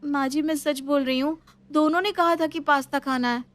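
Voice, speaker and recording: A woman puts on a young bride from a family story, eager and easily flustered. Her voice rides high and she chatters in questions. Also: fairly even intonation, moderate pace, fairly bright tone, soft background music. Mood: exasperated.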